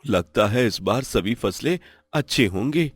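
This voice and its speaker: A man's voice: medium pitch, lively intonation, measured pace, husky, dry recording. A man playing an honest, poor village farmer who pleads and laments in a moral story. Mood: hopeful.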